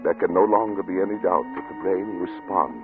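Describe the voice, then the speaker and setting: An actor playing a ruthless, obsessed scientist, cold and commanding, in 1940s radio drama style. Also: male voice, deep voice, fairly quick, natural voice. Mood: obsessed, brooding. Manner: commanding, clipped, insistent. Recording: muffled vintage radio recording with music.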